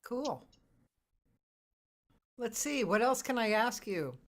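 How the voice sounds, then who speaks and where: A woman hosting a casual live interview, speaking informally and haltingly as she thinks aloud. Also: a little high, sing-song. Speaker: host, interviewer.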